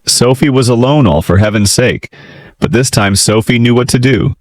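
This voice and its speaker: A man narrating a fairytale as a storyteller, in an expressive, theatrical style. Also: mid-range voice, normal speed, no room sound. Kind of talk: dramatic children's fairytale narration.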